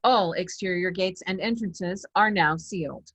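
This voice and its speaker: A woman's voice: lowish voice, a little slow, a bit bright, slightly rough, heavily acted. A woman voicing an impersonal, procedural computer security system in a screenplay table read. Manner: formal, procedural.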